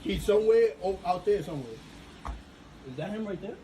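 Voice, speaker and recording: A young man in an excited panic, chattering in slang at housemates on a home recording. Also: sits high, brisk. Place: indoors in a house, far from the mic. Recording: echoey, noisy home video, far from mic.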